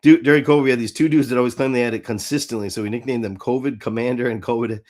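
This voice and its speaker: A man co-hosting a podcast in casual fan chat, halting and interrupting himself, with some mild swearing. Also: mid-range voice, steady tone, darkish tone. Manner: halting, self-interrupting, mildly profane. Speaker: podcast co-host.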